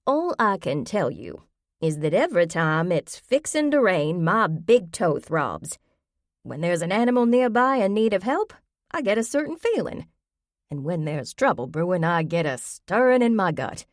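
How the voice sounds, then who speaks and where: A woman's voice: lowish voice, sing-song, moderate pace, no echo, studio quality. A woman voicing a stubborn, feisty old grandmother with an emphatic, folksy way of talking in an audiobook. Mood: insistent. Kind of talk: audiobook character voice.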